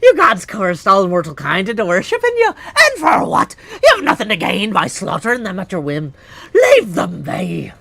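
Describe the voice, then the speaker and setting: A man's voice: high voice, wildly swooping pitch, normal speed, gravelly, pushed and bright, dry recording, heavily acted. A man voicing a defiant, embittered titan from a video game, in a grand declamatory manner. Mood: defiant anger. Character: defiant, embittered male titan bound to a mountain. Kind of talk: video game villain acting.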